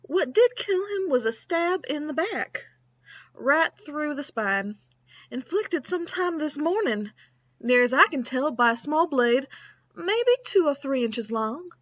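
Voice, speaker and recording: A woman's voice: high-pitched, lively intonation, a little slow, no room sound, muffled recording. A woman playing a wry, matter-of-fact frontier town doctor in a Western radio drama, with a clinical manner.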